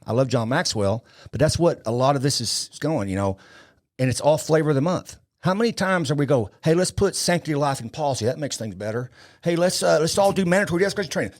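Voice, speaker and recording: Higher-voiced man, emphatic talker. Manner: emphatic, rhetorical, repeats points. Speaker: podcast guest, police leadership speaker. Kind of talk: opinionated interview talk.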